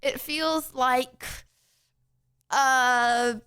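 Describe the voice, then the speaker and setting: A woman's voice: sits high, very slow, smooth. A young woman streaming live, chatting with her viewers in a bubbly, laughing way, full of "bro" and "okay". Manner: excitable, laughy, slangy with lots of "bro" and "okay".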